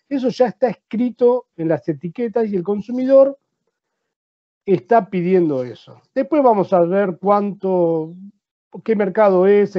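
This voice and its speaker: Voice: mid-range voice, muffled recording. A speaker with an Argentine accent, a veterinarian giving a practical seminar presentation who explains step by step and asks the audience rhetorical questions.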